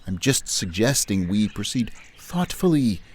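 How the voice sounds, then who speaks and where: Careful male scientist acted by a man, mid-pitched, lively intonation, precise and exasperated. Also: measured pace, husky, heavily acted. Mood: cautious. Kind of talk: audio drama comedy acting.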